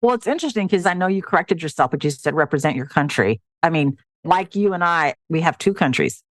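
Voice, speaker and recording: A woman's voice: sits low, lively intonation, fast talker, no echo, studio quality. A woman from the United States hosting a podcast, putting questions to her guest in a conversational way. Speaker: podcast host, interviewer. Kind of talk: conversational interviewing.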